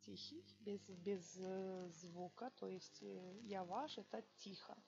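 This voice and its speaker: A woman teaching a language lesson, slowly dictating example sentences word by word and checking in with her students. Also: mid-range voice, steady tone, gravelly, highs cut off, background noise. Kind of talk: online language lesson, explaining exercises.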